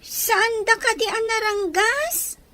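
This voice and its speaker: A woman's voice: very high-pitched, slow, bright, forceful tone, no echo, heavily acted. A woman voicing an anxious young girl who pleads with her father in a radio drama. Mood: uneasy. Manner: pleading, tearful.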